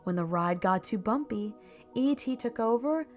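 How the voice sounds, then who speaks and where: A woman reading a children's storybook aloud in a measured, expressive storytelling manner. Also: a little high, faint music behind. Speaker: storybook reader. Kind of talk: scripted children's story narration.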